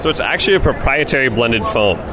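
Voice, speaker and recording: A man representing a sandal company, giving an enthusiastic sales pitch about his product. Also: expressive, fast talker, crowd noise.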